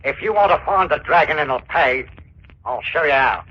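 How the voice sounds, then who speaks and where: An actor playing a sly waterfront crook and informant, with colloquial talk, in 1940s radio thriller style. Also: male voice, fairly high pitch, expressive, normal speed, slightly rough. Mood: sly, insinuating. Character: shady waterfront underworld informant called Freddy the Frog. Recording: dull, noisy 1940s radio recording.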